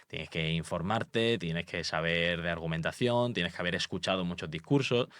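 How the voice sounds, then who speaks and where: A man's voice: sits low, normal speed, fairly bright tone, no room sound. A young man from Málaga with a light Andalusian accent, speaking as an emphatic, confident guest in a podcast interview. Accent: light Andalusian Spanish. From Málaga, Spain. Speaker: public speaking expert guest.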